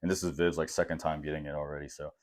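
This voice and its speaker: Casting: young man, extremely low deep voice, easygoing rambling podcast talk. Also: level tone, very fast. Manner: laid-back, rambling with many fillers. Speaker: podcast co-host. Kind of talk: casual chat.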